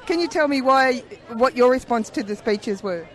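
A man reporting for community radio, briskly asking people questions at an outdoor rally. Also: very high voice, lively intonation, fairly bright tone, a faint crowd, rough recording. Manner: brisk, friendly, prompting questions. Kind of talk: street vox pop interviewing.

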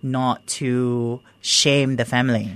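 A woman with a Singaporean accent speaks in relaxed, supportive conversation. She tells her own story in simple, casual phrasing. The sound is dry, without room echo. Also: extremely low pitch, slow pace. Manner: supportive, frequent short agreements.

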